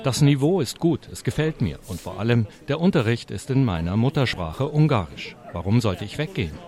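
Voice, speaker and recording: A man reading translated interview answers as a voice-over, in a plain, steady way. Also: mid-range voice, a little fast.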